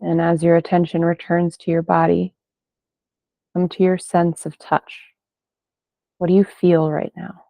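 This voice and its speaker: A woman leading a guided meditation in gentle, unhurried phrases with long pauses between them. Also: fairly low pitch, monotone, gravelly, no room sound. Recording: band-limited, phone-like sound, dry.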